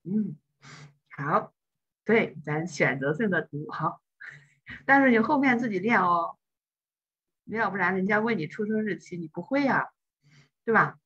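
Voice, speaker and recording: A woman's voice: mid-range voice, animated, unhurried, pauses often, a bit bright, breathy edge, highs cut off. A woman teaching English in an online class, explaining patiently and step by step.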